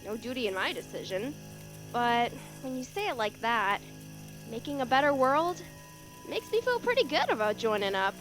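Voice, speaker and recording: A woman's voice: fairly high pitch, big pitch swings, moderate pace, a bit bright, faint music behind, low-quality recording. A woman playing a skeptical, teasing female soldier in a fan audio drama. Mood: reflective.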